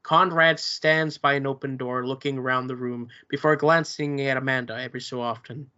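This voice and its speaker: A man reading the stage directions at a play table read in a plain, steady way. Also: mid-range voice, normal speed, clear, resonant tone. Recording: muffled lo-fi recording.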